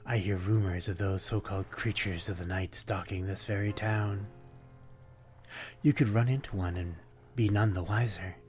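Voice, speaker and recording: A man's voice: on the low side, fairly even intonation, normal speed, a little mellow, a little hiss or hum, close to an everyday voice. A man playing a melancholy, courtly vampire in an intimate ASMR roleplay, speaking politely in old-fashioned phrasing with a predatory undertone. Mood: quietly menacing. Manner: old-fashioned, polite, brooding, addressing one listener intimately. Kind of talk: ASMR roleplay, dramatic monologue.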